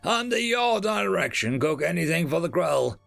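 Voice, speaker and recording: A man's voice: sing-song, measured pace, creaky, a bit bright, no echo, soft background music, exaggerated voice. A man voicing a stern male general who questions and commands with authority in an audiobook. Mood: measured, probing. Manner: authoritative, booming when angered. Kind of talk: audiobook character dialogue.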